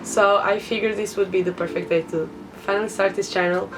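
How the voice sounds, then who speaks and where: A woman's voice: mid-range voice, steady tone, fairly quick, recorded from a distance, noisy background. A woman in her twenties from Portugal with a Portuguese accent, introducing herself in a chatty vlog, laughing often between thoughts. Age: 20s. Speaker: vlogger.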